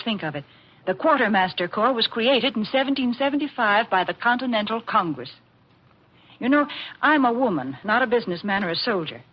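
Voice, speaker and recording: A woman co-hosting a 1940s radio show with scripted, folksy, chatty delivery. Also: on the high side. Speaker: radio show co-host and sponsor spokeswoman. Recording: muffled old radio recording.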